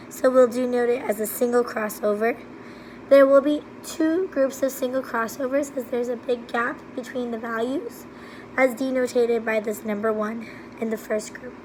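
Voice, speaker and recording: A woman whose voice is hoarse and worn from laryngitis talks through a recorded presentation, one step after another. Her voice sits a little high and hardly rises or falls. A faint hiss lies under her words. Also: poor audio. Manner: steady, methodical, step-by-step. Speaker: student presenter. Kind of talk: recorded slide walkthrough.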